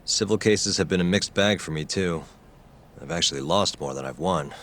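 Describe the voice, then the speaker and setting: Young lawyer, deep voice, earnest, determined, game dialogue. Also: male voice, moderate pace, fairly bright tone, slight background noise. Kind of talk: video game voice acting.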